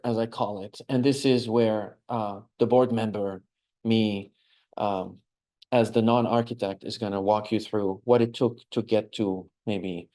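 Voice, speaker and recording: A man's voice: medium pitch, darkish tone. A man in his forties with a Lebanese accent presents as an architect in an informal lecture, explaining things conversationally and checking in with listeners.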